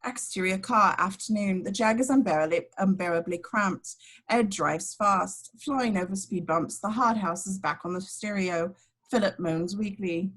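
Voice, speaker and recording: Casting: female action narrator for a script read-through, mid-pitched. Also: moderate pace, fairly bright tone. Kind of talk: scripted narration, reading screenplay directions.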